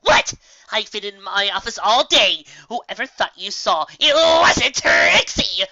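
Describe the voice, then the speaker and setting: A self-important storybook unicorn mayor, acted out by a narrator. She blurts defensively in a high, panicky pitch. The sound is bright and slightly dull in recording. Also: big pitch swings, slow, full character voice. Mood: panicked. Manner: blurting, defensive, third-person self-reference.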